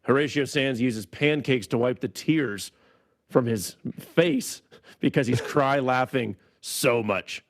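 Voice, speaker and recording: A man co-hosting a casual podcast, trading jokey, animated banter. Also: mid-range voice, slow. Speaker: podcast co-host.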